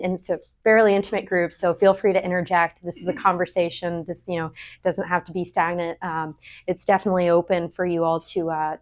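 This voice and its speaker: Chatty young woman giving a talk. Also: fairly low pitch. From El Salvador, raised in Austin, Texas. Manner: chatty, with many fillers and restarts. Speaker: presenter. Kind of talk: casual presentation talk.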